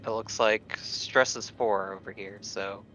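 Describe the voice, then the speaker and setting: A man playing a tabletop game, casually and haltingly introducing his character over a lo-fi mic. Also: on the low side, steady tone, pushed and bright, soft background music. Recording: dull lo-fi mic.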